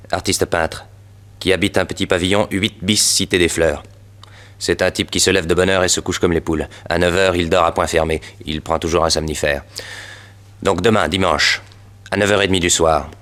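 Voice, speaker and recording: A deep male voice as the shadowy mastermind of a vintage radio crime play. He is cold and precise, laying things out in a measured, matter-of-fact way. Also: fairly bright tone. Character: cold, mysterious male mastermind hiring a killer. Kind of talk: 1960s French radio drama acting.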